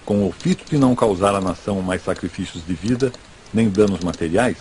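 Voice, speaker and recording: Formal Brazilian general played by a man, low measured voice, documentary telegram reading, clacking keys behind. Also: darkish tone. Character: formal army general dictating a surrender telegram. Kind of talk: dramatized reading of a historical telegram. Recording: faint noise, clicking typewriter-like keys.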